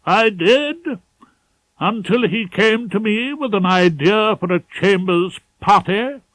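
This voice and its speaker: A man's voice: high voice, a bit bright, dry recording, pitched above the performer's own voice, full character voice, rough recording. A man voicing a pompous, prim, scandalised middle-aged male head barrister in an audiobook, with formal and hesitant phrasing. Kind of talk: audiobook character voice.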